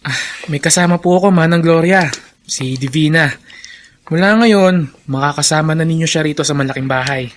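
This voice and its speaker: An actor playing a kind, earnest young plantation master in a radio drama, polite and sincere. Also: male voice, fairly high pitch, moderate pace, a little hiss or hum, natural voice. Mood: considerate. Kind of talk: Filipino radio drama acting.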